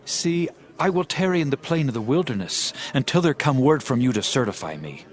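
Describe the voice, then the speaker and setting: A man's voice: medium pitch, sing-song, fairly quick, close to an everyday voice. An actor playing a grieving, devout king in a dramatized scripture audiobook, speaking in a solemn, archaic, declamatory way. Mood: resigned, solemn. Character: grieving, devout king fleeing his rebel son. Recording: loud music under the voice.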